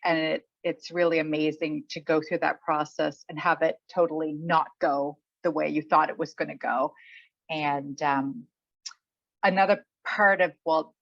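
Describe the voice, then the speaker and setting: A middle-aged woman chatting casually as an interviewed author, thinking aloud in a halting way. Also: low voice, gravelly, dry recording. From Canada. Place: remote online call. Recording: dull, muffled lo-fi audio.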